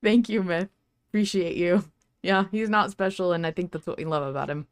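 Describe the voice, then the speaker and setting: Female fan-podcast host, slightly low register, effusive delivery, dry sound. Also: brisk, no echo. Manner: effusive, gushing praise. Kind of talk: casual fan podcast commentary.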